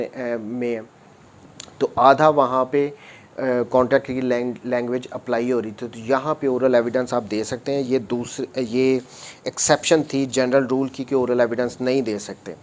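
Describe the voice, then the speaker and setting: A man's voice: mid-range voice, steady tone, normal speed. A man with a Pakistani accent giving an explanatory law lecture, reading statutes aloud and methodically repeating points as he switches between Urdu and English.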